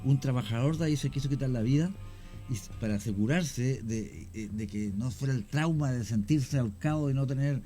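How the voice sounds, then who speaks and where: A man with a Chilean accent, a guest on a radio talk show, telling stories in a measured way with many hesitations. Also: mid-range voice, mellow, faint music behind. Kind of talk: radio interview storytelling.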